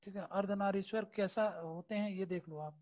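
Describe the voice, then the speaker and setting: A man teaching an exam-prep lesson over a screen recording, explaining slowly with many hesitation fillers and constant checks that his students understand. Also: high voice, steady tone, dull audio. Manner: keeps checking understanding with tag questions.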